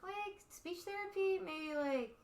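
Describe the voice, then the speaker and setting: A woman's voice: very high voice, slow pace, a crowd in the background. A young woman comedian from Texas with a light Texas accent, chatting casually as a guest, laid-back and peppered with "like" and swearing. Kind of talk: casual chat.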